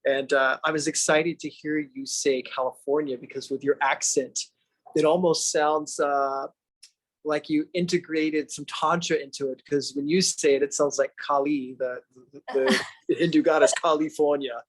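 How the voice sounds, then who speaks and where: A man's voice: a little high, fairly even intonation, normal speed. A man hosting a podcast interview, talking eagerly in a casual way with frequent stammering restarts. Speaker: podcast host.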